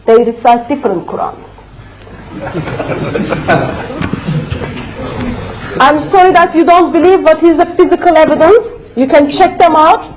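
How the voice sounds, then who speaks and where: A woman's voice: high-pitched, lively intonation, slow pace, long pauses, low-quality recording. A woman speaking English as a second language, giving an earnest, emphatic debate rebuttal in a university lecture room. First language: not English.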